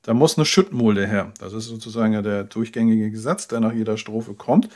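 A man's voice: fairly low pitch, normal speed, a little mellow. A man, hosting a show and putting conversational questions to an interview guest. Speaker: radio show host, interviewer.

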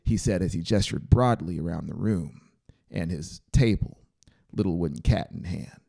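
A dark, creaky-voiced man in his thirties reads a story aloud. His voice sits low, and he is close to the mic in a small studio, narrating an audiobook. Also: moderate pace. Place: small brick-walled home studio. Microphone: close dynamic mic on a boom arm.

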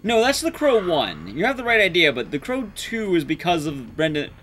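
A man's voice: on the high side, wildly swooping pitch, normal speed, a bit bright, soft background music. A young man giving casual, wry gameplay commentary to his chat, informal and sweary. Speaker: streamer, speedrunner.

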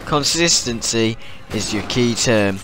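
A man's voice: mid-range voice, expressive, slow, background noise. A teenage boy with a cold, rambling through casual tips to his viewers over gameplay, often repeating himself. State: has a cold. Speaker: gaming YouTuber.

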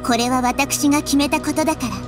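A woman voicing a wise, caring little-girl deity in an anime game, soft, thoughtful and measured. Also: high voice, fairly even intonation, natural voice. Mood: gentle resolve. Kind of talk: Japanese anime game voice acting. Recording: music behind the voice.

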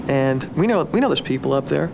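A man's voice: a little high, lively intonation, fairly quick, creaky. An American man, an air traffic controller, talking conversationally in an interview. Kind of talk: interview and work talk. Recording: loud background ambience, aircraft noise.

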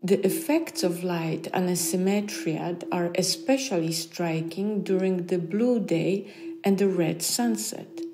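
A woman reading literary science fiction aloud in measured, deliberate phrases. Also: mid-range voice, warm tone. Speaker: reader. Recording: clearly audible background ambient noise.

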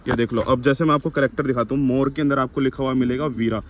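A man's voice: mid-range voice, steady tone, fast. A man hosting a casual shop tour, eagerly prompting and addressing viewers with repeated interjections. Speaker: vlog host touring a wholesale shop. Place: busy open-fronted market shop. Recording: clear street and market background noise.

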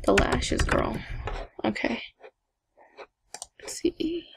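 A young woman chatting casually to viewers while she builds a game avatar, talking to herself with giggly asides in a low evening voice. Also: extremely slow. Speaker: gaming vlogger. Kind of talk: casual screen-recording commentary.

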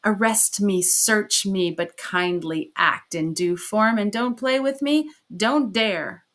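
A woman's voice: mid-range voice, unhurried, fairly bright tone, lightly acted. Woman playing a furious, imperious male suspect. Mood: defiant rage. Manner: imperious, emphatic, accusing. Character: furious, defiant, haughty, tormented male suspect. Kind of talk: dramatized audiobook character reading.

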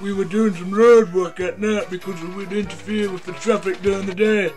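A matter-of-fact storybook working driver played by a man. He apologizes in clipped, casual phrases, pitched very high, with faint music behind. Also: steady tone, fairly quick, darkish tone. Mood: apologetic.

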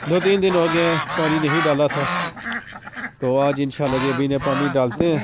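A man's voice: on the high side, brisk. Chatty man in a noisy duck yard. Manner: relaxed, chatty, says "ji" often. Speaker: vlogger. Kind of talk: casual vlog narration. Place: outdoor village yard with ducks.

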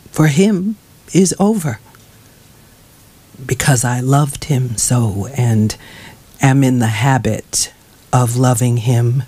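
Slow, mournful man reading aloud. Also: medium pitch, mellow. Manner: slow, halting, mournful delivery in short phrases. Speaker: author reading from his novel. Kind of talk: dramatic literary reading. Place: small crowded bookshop, indoors. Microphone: microphone on a stand.

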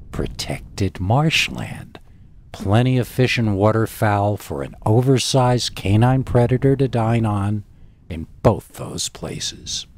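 Low-pitched man narrating scary stories. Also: slight background noise. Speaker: horror story narrator and channel host. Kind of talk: scripted scary story narration.

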